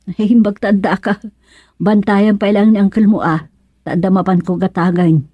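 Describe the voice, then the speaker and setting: An actress playing a middle-aged wife and aunt with an Ilocano accent in a radio drama comedy. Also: female voice, mid-range voice, little pitch movement, moderate pace, husky, no echo, lo-fi sound, close to an everyday voice. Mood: worried, resigned.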